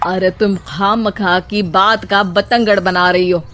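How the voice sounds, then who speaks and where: A woman's voice: mid-range voice, fairly even intonation, slow pace, a bit bright, faint music behind. A woman voicing an easygoing, dismissive husband in a comic story, speaking in a casual, breezy manner. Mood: dismissive, amused. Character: easygoing husband, adult man, dismissive and teasing.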